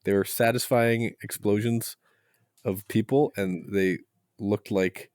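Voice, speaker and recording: A man chatting casually about films as a podcast panelist, halting and jokey. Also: on the low side, steady tone, darkish tone, dry recording, clean studio sound. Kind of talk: casual film chat.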